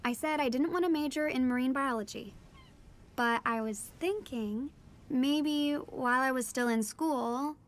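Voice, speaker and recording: High-voiced earnest teenage daughter narrating. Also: female voice, unhurried. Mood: warm, hopeful. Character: teenage daughter of a marine biologist, earnest storyteller. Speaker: narrator and lead character. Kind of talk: scripted first-person audio drama narration.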